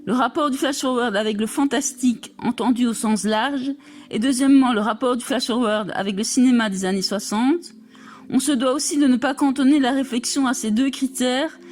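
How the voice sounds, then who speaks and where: A woman reading a scholarly text aloud in a formal, measured way. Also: high voice, a little mellow. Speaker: reader of an academic text.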